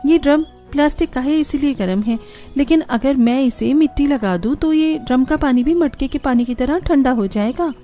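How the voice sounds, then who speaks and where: Woman playing an eager young daughter-in-law, high voice. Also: moderate pace, warm tone, lightly acted. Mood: musing. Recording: music behind the voice.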